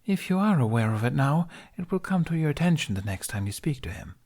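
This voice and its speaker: A man's voice: a little high, sing-song, a little fast, voice pushed up. Man voicing a serene, knowing dream woman. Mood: calm, knowing. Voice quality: feathery, warm. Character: serene, gently amused mysterious woman in a dream.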